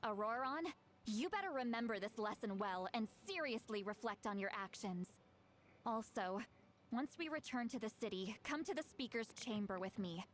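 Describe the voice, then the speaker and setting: An actress playing a stern, exasperated young woman in a video game, scolding with brisk authority. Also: on the high side, lively intonation, slight background noise, natural voice.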